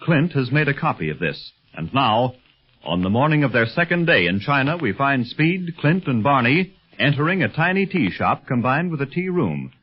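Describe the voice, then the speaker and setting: A man's voice: mid-range voice, big pitch swings, moderate pace, breathy edge. A man narrating a 1930s radio adventure serial in a scripted announcer style. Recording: dull old radio recording.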